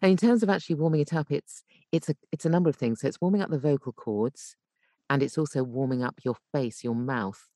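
A woman with a low voice explains technique as a voice coach on a podcast. She thinks aloud, repeating and rewording her phrases. The sound is dry, with very little room in it. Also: quick pace, dark tone, no echo. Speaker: guest voice coach and voice actor.

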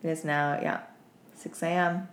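A woman's voice: low-pitched, little pitch movement, unhurried. A tired woman co-hosting a casual podcast, chatting in a candid, informal, jokey way. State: tired after staying up all night. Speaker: podcast co-host. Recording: roomy echo, clear background noise.

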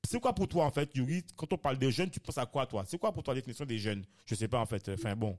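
Guy in his 30s hosting a podcast, mid voice, keeps stumbling and restarting, pretty animated. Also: medium pitch, quick pace. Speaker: podcast host. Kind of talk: casual discussion.

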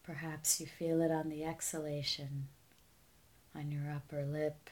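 A woman guides a meditation in a low-pitched voice. Her delivery is very slow and barely varies in tone. She stays calm and soothing, letting the ends of phrases fall soft. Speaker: meditation teacher.

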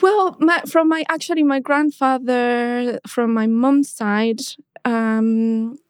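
A woman in her thirties from Mexico, a guest in a casual interview, who talks hesitantly with many restarts and soft laughs. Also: fairly high pitch, slow pace, clear, resonant tone, no echo, clean studio sound.

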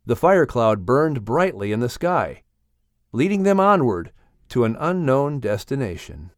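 A man reading a children's story as an audiobook narrator, in an expressive storytelling style. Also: medium pitch, a little slow, no room sound, studio quality.

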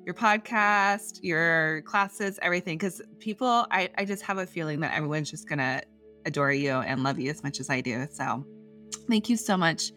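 Gushing American woman podcast host. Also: low voice. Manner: warm, gushing and affectionate. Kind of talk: casual interview chat.

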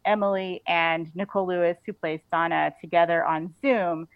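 A woman's voice: fairly low pitch, steady tone, normal speed, clean tone, no room sound. A woman talking effusively and casually as an author being interviewed, in a loose conversational way full of "like". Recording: band-limited, slightly dull audio.

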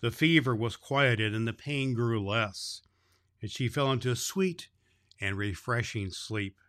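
A man's voice: mid-range voice, unhurried, a bit bright, no room sound, clean studio sound. A man reading a children's story aloud as a storyteller, expressively, with small stumbles over the words.

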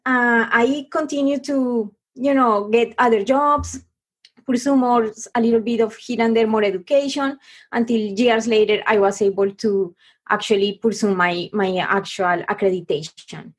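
A middle-aged woman from Colombia, telling her life story as a podcast guest in an earnest, halting way with many restarts. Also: fairly high pitch, steady tone, husky.

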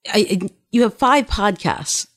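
Woman, podcast host, enthusiastic, quick to react, conversational. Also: mid-range voice, animated. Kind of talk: casual interview conversation.

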